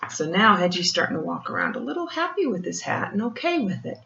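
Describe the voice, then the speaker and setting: An older woman reads a picture book aloud like a grandmother, pausing for gentle explanations and questions to the child. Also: mid-range voice, sing-song, vocal fry. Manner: gentle teacherly asides, asking the child questions. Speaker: grandmother storyteller reading to children. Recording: muffled, roomy home recording with faint noise.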